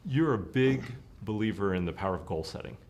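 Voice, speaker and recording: Low-voiced male interview host. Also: expressive, brisk, a little mellow, lo-fi sound. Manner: smooth, prepared questions with frequent "uh" fillers. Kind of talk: hosted interview.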